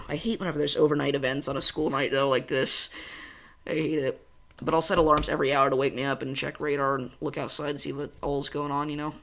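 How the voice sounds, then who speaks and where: Bright-voiced young man chatting on a livestream. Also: a little high, a little hiss or hum, poor audio. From central Illinois, US. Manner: chatty, goes on tangents, talks fast and excitedly. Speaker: weather livestream host. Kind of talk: casual livestream commentary, reading chat.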